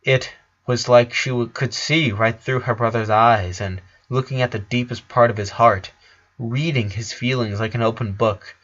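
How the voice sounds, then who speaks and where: A man's voice: medium pitch, steady tone, normal speed, fairly bright tone. A man reading a story aloud as a scripted narration, on a slightly dull recording. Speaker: fan fiction reader.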